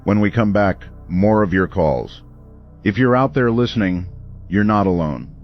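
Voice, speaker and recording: Sober male radio host played by an actor, gentle and reassuring; lowish voice, dull lo-fi broadcast sound. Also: expressive, unhurried, soft background music. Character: concerned, reassuring male late-night radio call-in host. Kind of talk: radio drama call-in hosting.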